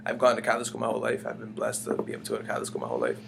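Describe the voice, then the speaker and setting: Young guy rambling fast in an interview, lots of likes and restarts, hummy noisy recording. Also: medium pitch, sing-song, vocal fry. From United States. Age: young adult. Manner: rambling, many fillers and restarts. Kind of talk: casual conversational interview. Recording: humming background noise.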